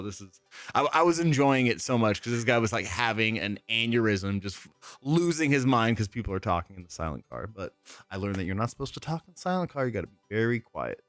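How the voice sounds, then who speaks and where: A man telling lively anecdotes in a casual interview chat, laughing often and acting out the people in his stories. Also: medium pitch, dry recording. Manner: animated storyteller, laughs often, acts out people he quotes.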